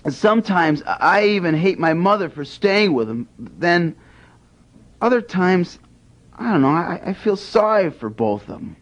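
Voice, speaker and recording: A defiant teen boy, high and lively, slow delivery. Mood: tipsy. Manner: sullen, defiant, giggly when drinking. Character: rebellious fourteen-year-old boy, hippie-leaning. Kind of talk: radio drama acting. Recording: dull lo-fi radio recording.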